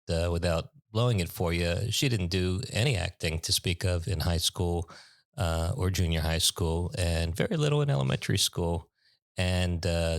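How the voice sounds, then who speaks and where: Deep-voiced middle-aged man hosting a podcast. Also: dry recording, studio quality. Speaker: podcast host. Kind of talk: casual interview and introduction.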